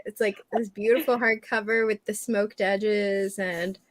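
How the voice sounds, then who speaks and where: A woman's voice: mid-range voice, steady tone, unhurried, no echo. A woman chatting casually on a group livestream with a bubbly, giggly manner. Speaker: livestream participant. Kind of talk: casual chat.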